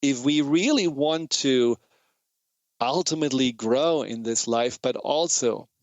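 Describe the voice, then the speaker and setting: A man's voice: medium pitch, slow, no echo, highs cut off. A man from Germany with a German accent, earnestly hosting a radio talk show and often restarting his phrases as he searches for words. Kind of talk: reflective monologue on a talk show.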